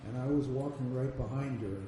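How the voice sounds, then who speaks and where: An older man, a veteran reporter, recalling past events in a measured storytelling way on a panel. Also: mid-range voice, fairly even intonation. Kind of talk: panel discussion recollection. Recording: distant mic in echoey room with noise.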